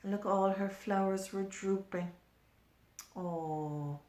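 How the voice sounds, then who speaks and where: A woman's voice: medium pitch, fairly even intonation, takes it slowly, heavy background noise. A woman reading a picture book aloud to young children, explaining and pointing things out as she goes. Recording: echoey room.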